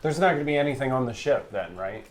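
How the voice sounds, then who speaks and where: A man's voice: mid-range voice, lively intonation, quick pace. A man talking casually and insistently as a player in a tabletop game.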